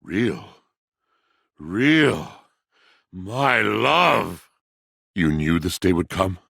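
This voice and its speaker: An actor playing a lovelorn, poetic washing machine in a video game, with florid, dramatic phrasing and a husky voice. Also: male voice, low-pitched, sing-song, fairly bright tone, no echo, clean studio sound, heavily acted. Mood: tender, romantic. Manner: florid, grandiloquent, dramatic phrasing with weighty pauses. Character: lovelorn, poetic, theatrical male washing machine come to life. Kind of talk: theatrical video game character acting.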